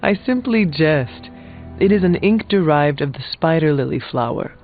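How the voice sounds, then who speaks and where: A man playing a courteous supernatural warrior with dry humour in a video game, speaking in a formal, measured, deadpan way. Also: fairly high pitch. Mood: dry teasing. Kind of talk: video game dialogue acting. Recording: tinny band-limited audio, music underneath. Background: background music.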